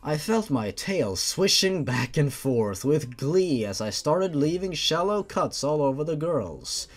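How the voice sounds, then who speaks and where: A man's voice: a little high, big pitch swings, measured pace. A man hosting a dramatic read-aloud of a horror story as its narrator. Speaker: host reading a fan horror story aloud.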